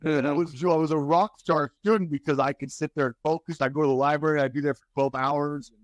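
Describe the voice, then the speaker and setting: A man's voice: fairly high pitch, dry recording. 40s guy telling his story, stops and restarts a lot, dry close sound. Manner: halting, restarts often, animated when telling stories. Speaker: guest, author and speaker. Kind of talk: candid interview talk.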